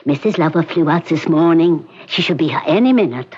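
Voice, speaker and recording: An actress playing a doctor, speaking in a formal, measured, clipped way. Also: female voice, sits low, animated, darkish tone, husky, highs cut off.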